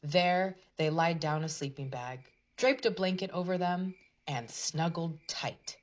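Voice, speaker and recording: A woman's voice: on the low side, measured pace, muffled recording. A woman narrating a scripted storybook tale for a puppet show in a dramatic fairy-tale manner.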